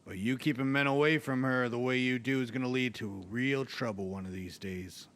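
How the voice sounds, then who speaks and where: A man's voice: mid-range voice, steady tone, moderate pace, fairly bright tone, lightly acted. A man acting in a Western radio play as a firm, authoritative marshal who admonishes and keeps the peace. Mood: stern, warning. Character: firm, level-headed Old West marshal.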